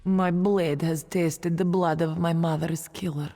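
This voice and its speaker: A woman's voice: fairly low pitch, steady tone, unhurried, background noise, lightly acted. An actress playing a proud, grieving young cat-folk warrior woman in a video game, with earnest dramatic delivery. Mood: solemn, reflective. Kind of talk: video game character dialogue.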